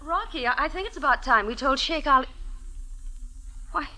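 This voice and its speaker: A woman's voice: sits high, expressive, normal speed, pushed and bright, slight background noise, full character voice. A woman playing an imperious, accusing femme fatale in urgent old radio melodrama style. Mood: alarmed, accusing. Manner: urgent, dramatic.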